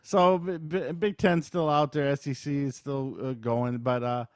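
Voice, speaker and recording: A man's voice: mid-range voice, steady tone, unhurried, no echo. Casual man chatting about sports, dry sound. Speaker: podcast co-host.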